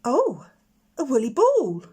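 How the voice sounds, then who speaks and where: A woman's voice: sits high, huge pitch swings, takes it slowly, warm tone, husky, pitched above the performer's own voice, exaggerated voice. A woman voicing a confident little schoolgirl in a storybook, chatty and proud, with a matter-of-fact know-it-all manner. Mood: surprised.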